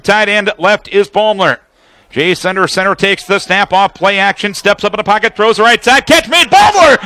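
A man's voice: high-pitched, sharp tone, no room sound. An American man calling live football play-by-play for radio, describing formations and action in a detailed running commentary.

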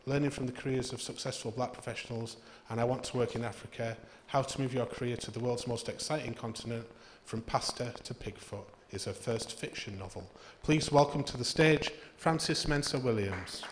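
A man's voice: medium pitch, a little fast. A male host introduces the next author at a live literary evening. He sounds formal and announcing, in a middling register. The room is roomy and echoey, with a faint hiss underneath. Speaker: event host. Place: live literary event venue.

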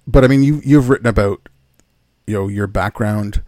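A man's voice: on the low side, normal speed, darkish tone, dry recording, studio quality. A man interviewing a guest in a casual conversational way.

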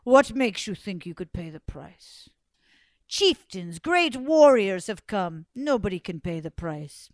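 A woman playing a lofty, doubting sky god in a told folktale, measured and imperious. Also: medium pitch, big pitch swings, no room sound. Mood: scornful. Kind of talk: oral storytelling.

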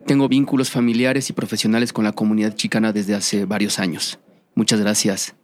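A man's voice: on the low side, flat delivery, a little fast, a little mellow. A man with a central Mexican accent, a historian explaining things in a formal, academic way. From Mexico City. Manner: articulate, academic. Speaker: historian, interview guest.

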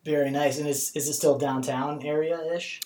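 A man's voice: a little high, fairly even intonation, normal speed, a little mellow. A man hosting a podcast, talking in a casual, slangy, laid-back way. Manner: laid-back, slangy, calls people bro. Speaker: podcast host. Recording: roomy echo.

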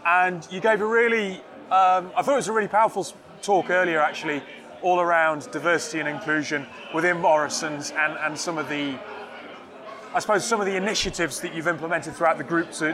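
A man's voice: a little high, fairly even intonation, brisk, crowd noise. A man, interviewing guests at a tech event in a chatty, personal way. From North East England. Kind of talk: conversational interview.

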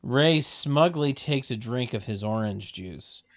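A man's voice: medium pitch, animated, takes it slowly. A man reads out stage directions for a comedy table read. His mid-range voice moves around a lot. He sounds sardonic, tossing in dry comic asides. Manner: sardonic, mock-announcing.

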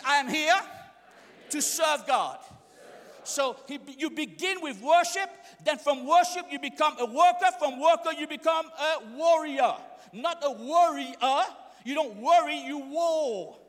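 A young man, not a native English speaker, delivers a fiery sermon with a very high, forceful, bright voice. He leads call-and-response chants and often breaks into shouts. The sound rings with long reverb in a big church hall. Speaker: preacher.

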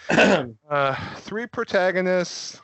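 A man's voice: high-pitched, animated, drawn-out words, fairly bright tone, no echo, poor audio. A man hosting a casual gaming podcast, joking and often laughing. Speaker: podcast host. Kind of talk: casual chat.

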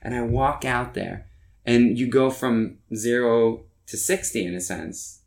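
A man's voice: medium pitch, a little slow, a little mellow. A man, a musician chatting in an interview, rambling with frequent restarts. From Norway. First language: Norwegian. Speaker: musician guest. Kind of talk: casual interview chat.

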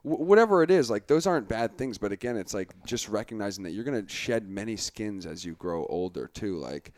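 A man's voice: lowish voice, animated, a little fast, a little mellow, no echo. A young man in casual conversation with friends, rambling with lots of fillers and easy laughter. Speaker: podcast co-host. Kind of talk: casual chat among friends.

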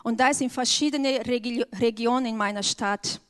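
A woman's voice: fairly high pitch, fairly even intonation, smooth. A woman from Brazil with a Brazilian accent, not speaking her first language, giving an earnest personal testimony in church. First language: Portuguese. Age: adult with an eleven-year-old daughter. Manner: slow, earnest, careful phrasing.

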